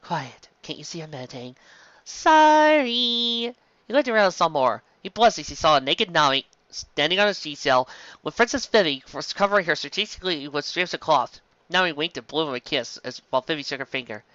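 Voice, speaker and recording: A woman's voice: low voice, expressive, fast talker, sharp tone, no echo, lo-fi sound. A woman reviewer reading fan fiction aloud with comic asides, theatrical and exclamatory.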